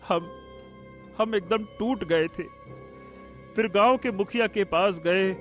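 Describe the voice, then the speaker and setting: A sorrowful, humble young painter voiced by a man in an animated folk story. The voice sits high and moves very slowly, halting and plaintive, with faint music behind it. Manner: halting, plaintive, repeats phrases for effect. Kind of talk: Hindi animated moral story dialogue.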